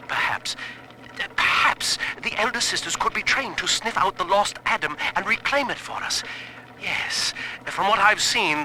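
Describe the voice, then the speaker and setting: Sharp-voiced, troubled male scientist. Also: fairly high pitch. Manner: precise, formal, deliberate. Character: troubled, erudite male scientist, reflective and devoted. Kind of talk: dramatic audio-diary monologue. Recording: bright, faintly noisy audio-log tape.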